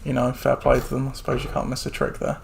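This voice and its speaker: A man hosts a relaxed sports podcast, talking in a chatty, meandering way with plenty of ums. His voice sits at an ordinary middle pitch and sounds slightly worn, as he is losing it. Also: fast, vocal fry. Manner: chatty, rambling with many fillers. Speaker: podcast host. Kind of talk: casual sports podcast chat.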